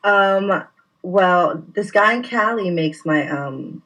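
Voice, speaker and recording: A friendly, easygoing woman from New York talks as a guest over a video call, her voice sitting at an ordinary middle pitch. She giggles often and keeps a relaxed, chatty tone. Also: takes it slowly, poor audio. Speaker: guest, professional wrestler. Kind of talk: casual interview chat.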